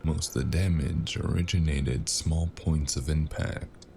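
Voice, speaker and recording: A man acts out a weary noir investigator for an audio drama. His voice is extremely low and full of gravelly fry, and he speaks slowly, with dry, sardonic wit. There is clear noise behind him. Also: steady tone, a little mellow, exaggerated voice. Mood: brooding, narrating. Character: weary wisecracking noir investigator, a mysterious male stranger. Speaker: narrator and lead character.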